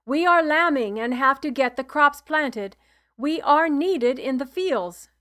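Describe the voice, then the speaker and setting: A woman voicing a stout, red-faced man, an anxious and stern father who speaks loudly, pleading and scolding, in a storybook reading. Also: high-pitched, expressive, measured pace, pitched above the performer's own voice. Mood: insistent.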